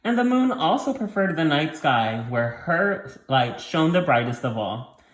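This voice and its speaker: A man's voice: fairly high pitch, very animated, measured pace, reverberant. A man reading a story aloud to young children as their teacher, explaining things simply and repeating key points.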